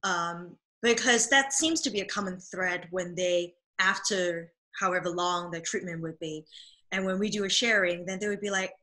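A woman in her thirties, Cantonese her first language, talks over a home video call with a bright, ringing voice. She is a dance therapist, thoughtful and a little halting, full of ums and small restarts as she explains her work. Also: lowish voice, low-quality recording. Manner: thoughtful, lots of ums and restarts, laughs easily. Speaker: dance movement therapist, guest. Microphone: video call webcam mic.

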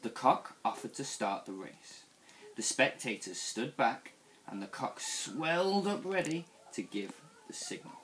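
A young man reading a picture book aloud to children in an expressive, deliberate storytelling style. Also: medium pitch, slight background noise. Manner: animated, deliberate storytelling delivery. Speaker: school staff member reading to young children.

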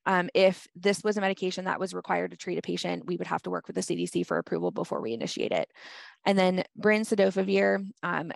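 Gravelly woman giving a medical lecture. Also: on the low side, expressive, fast talker, no room sound. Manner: frequent "um" fillers, practical advisory tone. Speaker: health department presenter. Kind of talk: medical grand rounds lecture.

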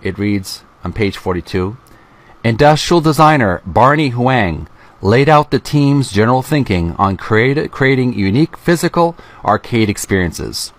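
A middle-aged man hosting a video, reading aloud from a book with casual commentary in a halting, deliberate way into a close microphone. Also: mid-range voice, lively intonation. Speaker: video host.

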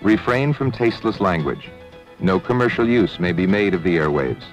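A man reading formal, measured scripted narration as a documentary narrator. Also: sits low, animated, lo-fi sound. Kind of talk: scripted newsreel-style narration. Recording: 1970s film soundtrack with music. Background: background music.

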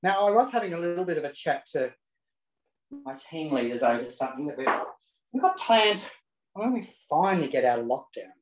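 A man's voice: on the high side, very animated, fairly quick. Camp chatty man in a roomy kitchen. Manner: camp, chatty, cheeky asides. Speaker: cooking demo presenter. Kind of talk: casual live cooking demonstration. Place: home kitchen. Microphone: self-set camera.

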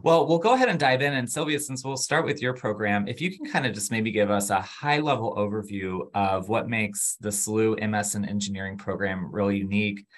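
A man's voice: fairly low pitch, brisk, hardly any pauses. A man hosting a university webinar over a video call, upbeat with frequent fillers. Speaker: webinar host, admissions. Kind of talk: webinar presentation.

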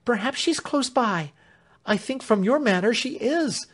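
A man voicing a distraught, loyal female servant who pleads in a high, breathless voice. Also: expressive, a little slow, fairly bright tone, exaggerated voice. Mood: pleading.

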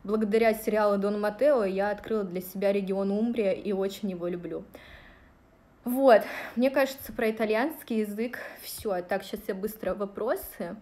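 A woman's voice: mid-range voice, normal speed, mellow. A woman in her thirties from Russia, chatting casually and directly to her viewers on a livestream, with plenty of fillers, recorded on a phone at arm's length in a small room. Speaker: vlogger answering viewer questions. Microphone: phone held at arm's length.

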